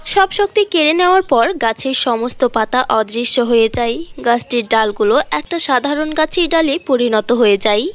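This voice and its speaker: A woman's voice: a little high, animated, normal speed. A woman reading scripted fairy-tale narration as a storyteller.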